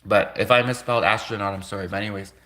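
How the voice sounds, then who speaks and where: Male livestream host, native Russian speaker, bright forceful voice, earnest rambling monologue. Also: on the low side, steady tone, very fast. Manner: earnest, rambling, repeats phrases for emphasis.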